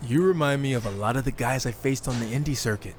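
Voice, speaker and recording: A man's voice: mid-range voice, fast, noisy background, lightly acted. A man playing a confident, dryly teasing indie wrestler turned new recruit in a video game cutscene. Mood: cocky.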